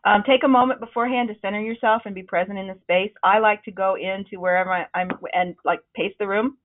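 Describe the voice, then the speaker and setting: A woman leading a webinar on presenting, with a loud, projecting voice that is starting to wear out, talking in a conversational, encouraging way with frequent ums. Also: medium pitch, fairly even intonation, fast. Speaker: presentation trainer. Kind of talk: webinar talk. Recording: narrow, phone-like webinar audio.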